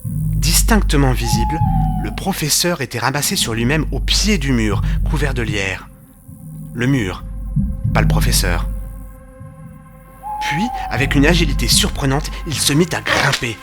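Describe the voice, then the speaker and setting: Loud music runs under a male actor playing a detective's loyal companion in an audio drama. He tells the tale in a measured, literary way at a middling pitch. He is earnest, with a dry streak of humour. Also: wildly swooping pitch. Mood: suspenseful, wry. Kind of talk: audio drama narration with humorous asides.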